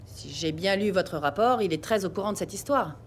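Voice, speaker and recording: A woman's voice: medium pitch, animated, fairly quick, slight background noise, lightly acted. An actress playing a formal, shrewd woman police chief in an audio drama, speaking with cool authority. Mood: decisive. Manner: formal, commanding. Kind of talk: dramatic audio series acting.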